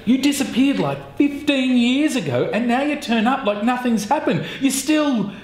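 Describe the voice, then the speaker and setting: A middle-aged man playing a teacher in an amateur short film, speaking in a blunt, deadpan way. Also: very high-pitched, moderate pace. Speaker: teacher character. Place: school corridor and classroom. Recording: echoey room with faint hiss.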